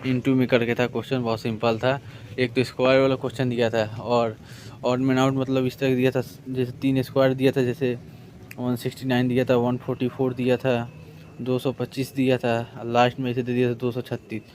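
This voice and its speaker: A man's voice: medium pitch, completely flat delivery, brisk, a little mellow. A man giving an informal exam review lesson, explaining in a colloquial, repetitive way as a coaching teacher. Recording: clear background noise.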